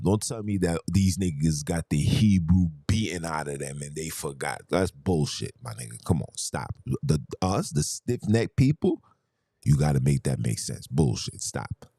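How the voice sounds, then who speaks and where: Low, dark-voiced argumentative man. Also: no echo, clean studio sound. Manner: argumentative, rhetorical questions, emphatic. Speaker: podcast host. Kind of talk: heated monologue.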